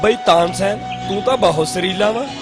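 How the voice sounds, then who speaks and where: A man's voice: high-pitched, brisk, close to an everyday voice. A man with a Pakistani Punjabi accent voicing a bossy, sarcastic Mughal emperor in a comic cartoon dub. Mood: teasing. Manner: teasing, blustering. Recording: music under the voice.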